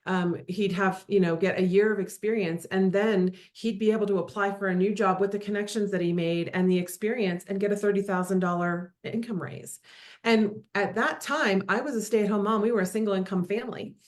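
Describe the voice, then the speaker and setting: A woman's voice: mid-range voice, steady tone, fairly quick. A woman in her fifties, a guest in a casual interview, friendly and laughing often, with frequent ums and restarts. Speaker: guest, realtor.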